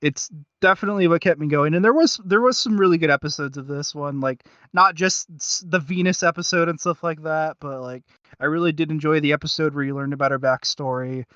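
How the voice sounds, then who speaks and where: A man with a slightly high voice chats casually as a podcast host. He gropes for his point in a halting, self-deprecating ramble. The sound is very dry and a little dull. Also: a bit bright, clear, resonant tone, no echo. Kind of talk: casual review chat.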